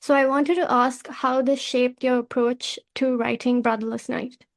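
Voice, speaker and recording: Female literary podcast host, high voice with very flat intonation, formal and measured, scripted read. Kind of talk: scripted podcast introduction and questions.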